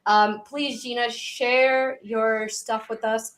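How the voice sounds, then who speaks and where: A woman's voice: on the high side, slow. A woman in her thirties with a Long Island accent, co-hosting a live podcast and telling stories in casual chat, acting out the quotes. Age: 30s. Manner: animated storyteller, acts out quotes. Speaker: podcast co-host.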